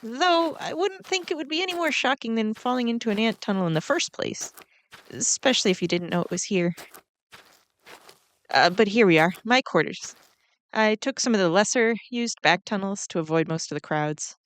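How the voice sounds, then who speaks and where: A woman voicing a kindly, flustered giant ant queen in a roleplay audio drama, speaking gently and hesitantly to the listener. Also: medium pitch, normal speed, close to an everyday voice. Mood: modest, explaining. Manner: gentle, hesitant, rambling with small nervous laughs.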